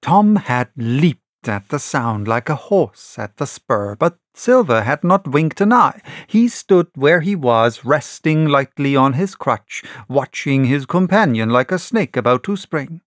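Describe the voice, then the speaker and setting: A man narrating an adventure novel as an audiobook, reading in measured, dramatic short phrases. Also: medium pitch, expressive, no room sound, studio quality. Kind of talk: scripted storybook narration.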